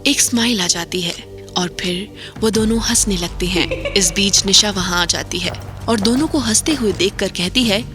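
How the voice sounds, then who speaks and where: A woman giving steady scripted narration for an audio drama. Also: medium pitch, moderate pace, over music.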